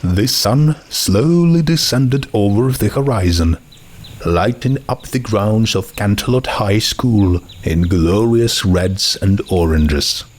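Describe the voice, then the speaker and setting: A man's voice: fairly low pitch, takes it slowly, darkish tone, slight background noise. A man reading a scripted story as its narrator. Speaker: narrator of fan fiction audio readings.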